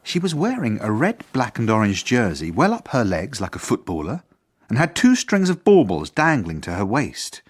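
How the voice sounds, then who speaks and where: Stiffly formal school head: slightly raspy, prickly, precise, expressive delivery for radio comedy. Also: male voice, medium pitch, moderate pace. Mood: formal, dry. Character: earnest, exasperated male headmaster, stiffly formal. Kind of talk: first-person narration and radio drama acting.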